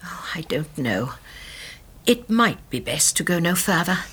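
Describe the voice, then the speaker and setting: An actress playing a gentle, shrewd elderly English spinster sleuth in a radio drama, with a breathy voice and a hesitant, genteel, musing manner. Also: female voice, lowish voice, sing-song, unhurried, full character voice. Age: older.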